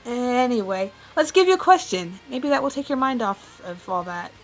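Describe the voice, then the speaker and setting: High-voiced woman hosting a question show. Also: expressive, moderate pace, soft background music, low-quality recording. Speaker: show host reading fan questions. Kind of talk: casual scripted Q&A hosting.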